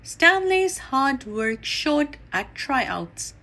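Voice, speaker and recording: A woman reading a children's story aloud in an animated, deliberate storytelling manner. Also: high voice. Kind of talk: expressive read-aloud storytime narration.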